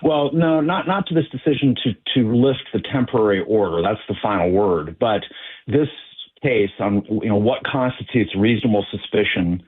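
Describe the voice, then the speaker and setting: A man as a legal analyst guest on a news interview, explaining in a measured, halting way with many fillers. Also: on the low side, animated, husky. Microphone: telephone. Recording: tinny phone line.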